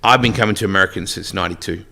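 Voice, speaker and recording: Bright, punchy Australian man, talking low. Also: racing, creaky, slightly rough. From Western Australia. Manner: chatty storyteller, joking asides. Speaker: guest, race announcer. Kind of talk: casual podcast interview.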